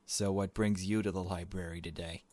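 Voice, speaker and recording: A man's voice: deep voice, level tone, moderate pace, a little mellow, no room sound, natural voice. A man voicing a polite, genteel, slightly awkward man in a visual novel. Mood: polite, friendly. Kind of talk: visual novel character read-aloud.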